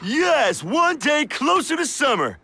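High, lively schoolboy rapper. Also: male voice, slow pace, creaky, exaggerated voice. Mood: friendly, casual. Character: upbeat, earnest young schoolboy rapper. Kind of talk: animated game cutscene rap. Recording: hip hop music under the voice.

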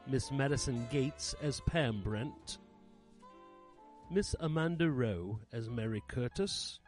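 A man's voice: medium pitch, lively intonation, slow pace, a little mellow. A man reading out cast credits as a formal old-time radio announcer. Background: instrumental music.

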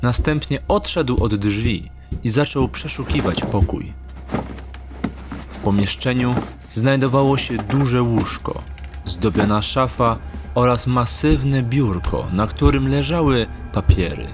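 A man narrating a scripted dark story as an audio drama narrator, in measured, literary style. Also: mid-range voice. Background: music.

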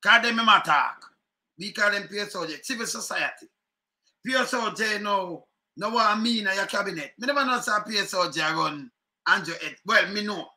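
A middle-aged Jamaican man speaks in patois straight into a webcam from a room at home. His voice sits high and has a sharp, bright edge. He talks in an animated way and circles back over the same points again and again. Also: a little fast. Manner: animated, chuckling, repeats phrases, gestures a lot. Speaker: online commentary host. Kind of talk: casual political commentary in patois. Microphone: webcam at desk.

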